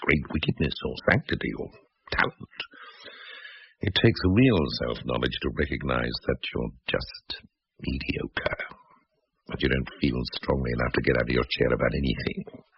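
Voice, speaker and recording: A man's voice: extremely low pitch, normal speed, gravelly, breathy edge, muffled recording, exaggerated voice. An actor playing a cynical, self-deprecating, bitterly witty man in a radio drama, speaking with languid eloquence. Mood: bitter musing.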